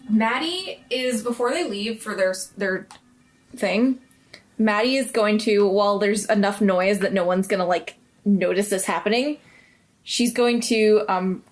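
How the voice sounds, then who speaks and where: A woman's voice: mid-range voice, unhurried, faint music behind, rough recording. A woman chatting casually as a player at a tabletop game.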